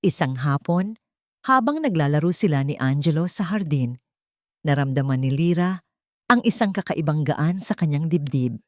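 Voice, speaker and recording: A woman tells a melodramatic story as a scripted narrator. Her voice is a little low in pitch. The recording is very dry and close, made as a voice-over. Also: animated, moderate pace, a little mellow, no echo.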